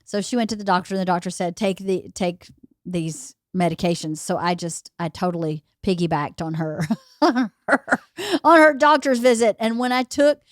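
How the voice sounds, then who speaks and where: Slightly hoarse woman, low and dry. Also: normal speed, no echo. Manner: chatty, rambling storyteller. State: slightly hoarse, losing her voice. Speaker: podcast host. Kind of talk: casual chat.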